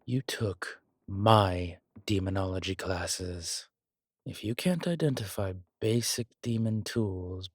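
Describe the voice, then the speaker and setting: A man playing a long-lived demonology professor in an audio roleplay, calm, protective and gently wry, speaking in a measured, deliberate way. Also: fairly low pitch, no echo, studio quality. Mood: wry, encouraging. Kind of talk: slow intimate audio roleplay acting.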